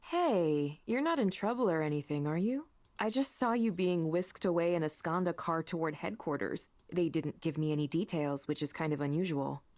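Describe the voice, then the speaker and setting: Casting: perceptive female coworker, visual novel, casual and concerned, lowish pitch, dry recording. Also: lively intonation, normal speed, a little mellow, dry recording.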